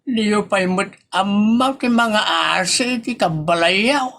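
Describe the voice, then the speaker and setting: A man playing a hapless, pleading husband and father in a comedy audio drama, stammering and exclaiming excitably in a husky voice. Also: high-pitched, takes it slowly, full character voice. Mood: flustered.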